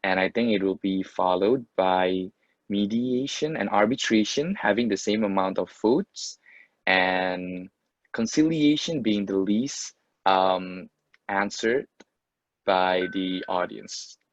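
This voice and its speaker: Low-voiced man, muffled dry audio. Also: slow, no echo. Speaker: webinar moderator. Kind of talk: presenting audience poll results. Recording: dull, lo-fi online call audio.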